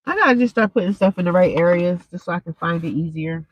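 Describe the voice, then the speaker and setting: A woman's voice: fairly low pitch, fast talker, no echo, highs cut off. A young woman, stuffed up and congested, chatting casually with viewers on a live stream through a cheap earphone mic. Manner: rambling, chatty, talks straight to viewers.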